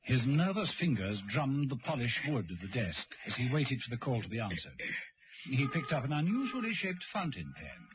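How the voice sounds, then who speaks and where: A man's voice: medium pitch, wildly swooping pitch, normal speed, background noise. A man narrating a radio serial in a dramatic, suspenseful and precise scripted style. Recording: dull lo-fi old radio recording. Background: dramatic music.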